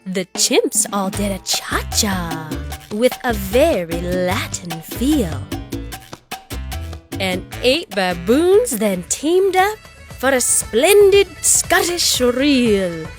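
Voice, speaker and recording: A woman with a fairly high voice reads a children's story aloud. Her delivery is very slow, rhythmic and expressive, and faint music plays behind her. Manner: deliberate, rhythmic verse reading. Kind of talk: expressive scripted read-aloud for young children.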